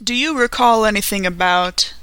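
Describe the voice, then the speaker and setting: A woman playing a precise, businesslike doctor turned investigator, wording things formally and deliberately in a tabletop roleplay. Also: medium pitch, a bit bright, smooth, no room sound, close to an everyday voice.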